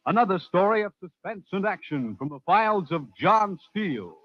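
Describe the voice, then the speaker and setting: A man's voice: high voice, expressive, normal speed. A man announcing a radio program in a formal, dramatic 1940s broadcast style. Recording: muffled old radio broadcast recording.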